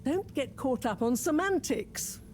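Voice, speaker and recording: An actress playing an impatient, exacting female professor and scientist who talks in a crisp, precise way. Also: sits high, lively intonation, a little slow, darkish tone, slight background noise.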